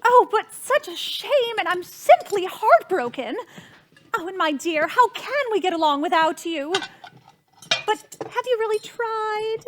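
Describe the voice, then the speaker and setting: A woman's voice: very high-pitched, big pitch swings, measured pace, slight background noise, heavily acted. A woman playing a fretful, imperious society hostess and mother in a theatrical period stage comedy, gushing and dramatic. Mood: gushing regret. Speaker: stage actress.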